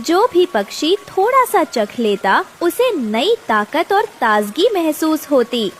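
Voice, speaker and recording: A woman's voice: high voice, a little hiss or hum. A woman narrating a scripted children's story.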